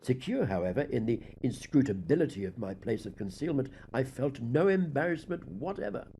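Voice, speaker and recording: A dark-toned, deranged murderer acted by a man confesses in formal gothic storybook prose. His pitch swings widely as he moves between dread and frenzy, with theatrical emphasis. There is some noise behind the voice. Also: expressive, fairly quick, natural voice. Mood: cold, calculating. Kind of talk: dramatic gothic audiobook reading.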